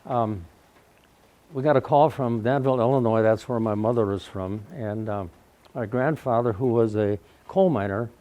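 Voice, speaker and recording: Elderly man around ninety, mellow, warm low tone, guest speaker telling his story loudly without a microphone. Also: moderate pace. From Wausau, Wisconsin, USA. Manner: folksy storytelling, often clears his throat. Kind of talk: personal life-story talk to a live audience. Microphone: no microphone, recorded in the room.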